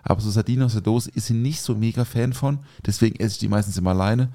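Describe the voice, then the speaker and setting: A man's voice: lowish voice, quick pace, dark tone. A man from southern Germany, chatting informally and loosely as co-host of a food podcast. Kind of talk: casual podcast chat.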